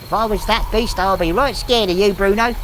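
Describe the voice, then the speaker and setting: A man's voice: high voice, brisk, a bit bright, slight background noise. A man playing a cheeky talking wooden dummy with a British accent and a mocking, sarcastic manner.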